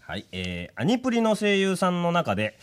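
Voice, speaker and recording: A man's voice: high voice, wildly swooping pitch, moderate pace, smooth. A man hosting a casual radio show, reading listener mail and bantering with co-hosts in a blunt, teasing way.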